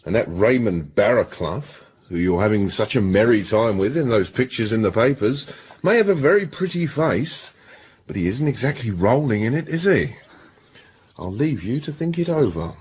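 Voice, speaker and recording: An actor playing an English gentleman posing as a blackmailer in a radio drama, with a British accent and polite, insinuating speech. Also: mid-range voice, expressive, normal speed, breathy edge. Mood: smug, menacing.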